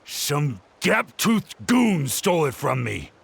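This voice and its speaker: Creaky, distraught old scholar. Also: male voice, a little high, big pitch swings, slow, slight background noise, exaggerated voice. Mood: aggrieved. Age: older. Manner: plaintive, formal. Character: distraught, earnest old male scholar robbed of his work. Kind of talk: video game voice acting.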